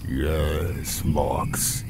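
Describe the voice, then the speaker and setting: A man voicing a huge, stolid lizard creature for a visual novel, speaking in a stilted, halting, scripted way. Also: extremely low pitch, steady tone, background noise, heavily acted. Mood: plodding. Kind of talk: visual novel character acting. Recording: loud music behind. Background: loud music.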